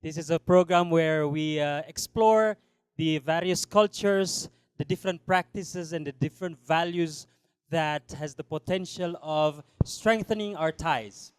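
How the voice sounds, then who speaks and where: Man, talk show host, smooth clear voice, slightly high, hesitant, many fillers, studio. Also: a little slow.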